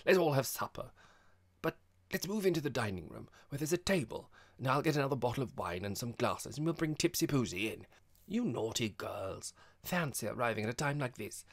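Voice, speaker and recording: A man's voice: lowish voice, big pitch swings, normal speed, creaky, hardly any pauses, a bit bright, full character voice. A man voicing a prim, fussy, middle-aged genteel bachelor who flusters easily, in an audiobook comic novel. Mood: forced gaiety.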